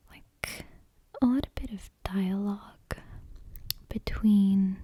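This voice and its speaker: A woman speaks in a very breathy, whispery hush, close to the mic. Her delivery is slow and gentle, with a dark, mellow softness suited to bedtime ASMR. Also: medium pitch. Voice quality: breathy, hushed, near-whisper. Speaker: ASMR channel host. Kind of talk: soft-spoken, whispery ASMR, intro and bedtime story reading. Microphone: close ASMR microphone with headphone monitoring.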